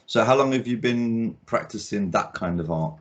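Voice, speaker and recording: Deep-voiced rambling male art critic. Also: expressive, moderate pace. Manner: rambling, lots of ums and restarts. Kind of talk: casual video-call interview. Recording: dull lo-fi sound.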